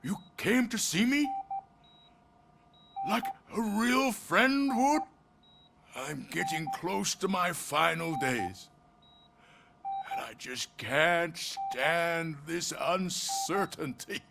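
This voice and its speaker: Sick old ceo in a video game, real slow, tired and halting, lots of noise behind. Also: male voice, fairly high pitch, vocal fry, full character voice. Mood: frail wonder. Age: older. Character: frail, ailing old corporate chief, wistful and fearful. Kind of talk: video game cutscene acting.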